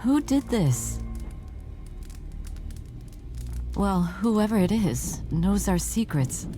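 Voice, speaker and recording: An earnest female detective in a mystery game, reflects aloud in a mid-pitched voice. She sounds determined and devoted, with music clearly playing underneath. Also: very animated, slow, mellow. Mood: alarmed. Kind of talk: video game narration, thinking aloud.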